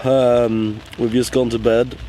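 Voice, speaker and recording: A tired young man with a hoarse, worn-out voice, chatting casually to the camera in a rambling, halting way. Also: medium pitch, flat delivery, warm tone, a little hiss or hum, rough recording. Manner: rambling, halting with many fillers. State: hoarse, lost voice. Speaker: vlogger. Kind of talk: casual vlog chat. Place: in a car.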